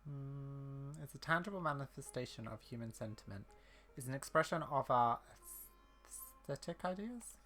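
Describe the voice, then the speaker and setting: Female gamer, extremely low pitch, casual and wry playthrough commentary. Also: expressive, normal speed, a bit bright, faint music behind. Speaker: gamer playing and reacting. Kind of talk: casual playthrough commentary, reading dialogue choices.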